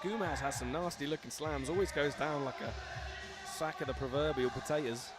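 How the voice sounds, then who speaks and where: Excitable young male event commentator. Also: fairly high pitch, normal speed. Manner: excitable, laughing, shouting out to viewers. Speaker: live commentator and host.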